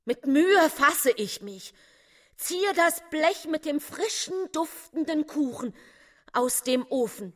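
Inner voice of an envious female poisoner: theatrical radio drama, high-pitched, drenched in hall reverb. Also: lively intonation, slow, a bit bright, heavily acted. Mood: anguished. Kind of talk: dramatic German radio play monologue. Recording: long reverberant hall effect.

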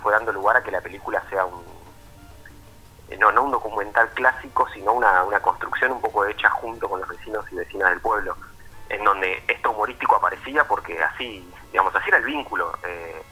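A man's voice: fairly low pitch, quick pace, sharp tone, slightly rough. A man with an Argentine accent answers casually as a filmmaker in an interview over a phone line, explaining informally and checking with little tag questions. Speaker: documentary filmmaker being interviewed.

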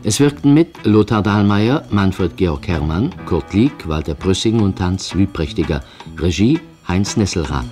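A man's voice: sits low, animated, unhurried, few pauses. A man reading radio play credits as a formal, scripted announcement. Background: guitar music.

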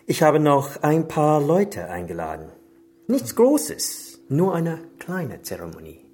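A man's voice: on the high side, wildly swooping pitch, slow pace, darkish tone, exaggerated voice. A man in a German radio crime drama playing a suave, menacing Chinese crime boss who is genial but insinuating and commanding. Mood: ceremonious, genial.

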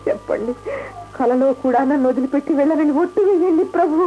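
Radio play casting: woman playing devoted young lover, very high lyrical voice, pleading manner, narrow tinny sound with music. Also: quick pace, lightly acted. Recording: thin phone-like radio drama audio, music.

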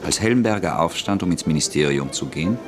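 Deep-voiced male radio play narrator. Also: fairly quick, music in the background. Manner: calm, even storytelling. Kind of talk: scripted radio drama narration.

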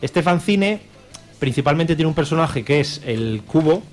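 A man's voice: on the high side, expressive, a little slow, soft background music. A man with a Spanish accent from Spain co-hosts a radio show, reviewing and bantering in a casual, jokey, colloquial and irreverent way. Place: radio studio.

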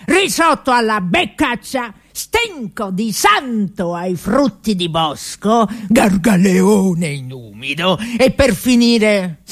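A woman's voice: medium pitch, fairly bright tone, heavily acted. A woman voicing a spiteful, proud and rude male trattoria cook in comic radio theatre, boastful and scornful.

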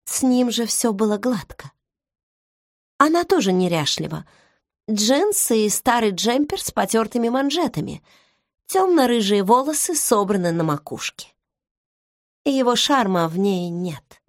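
A woman narrates a first-person novel in a measured, intimate way, as if thinking aloud. Her pace is unhurried and her voice sits somewhat high. The studio sound is close and dead dry. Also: sing-song, no echo. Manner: measured, intimate inner monologue. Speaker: audiobook narrator. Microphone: close studio mic.

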